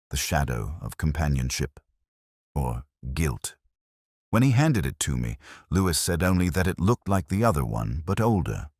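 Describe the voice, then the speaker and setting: A man narrating a scripted first-person detective story in a measured, brooding, literary manner. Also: deep voice, dry recording, studio quality.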